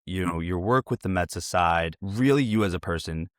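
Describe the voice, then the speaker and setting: A man's voice: sits low, normal speed, no room sound. A man hosting a podcast, asking questions in a conversational interview style.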